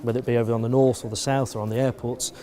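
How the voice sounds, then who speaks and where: British male supervisor in interview, soft dark tone, mid pitch, lays things out methodically. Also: little pitch movement, very fast. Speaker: air traffic control supervisor interviewee. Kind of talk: explanatory interview answers.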